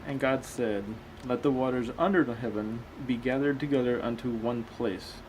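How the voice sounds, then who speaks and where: A man's voice: medium pitch, normal speed, darkish tone, slight background noise, poor audio. A man reading aloud and commenting casually as a host, with a skeptical, questioning manner. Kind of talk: casual commentary between read-aloud passages.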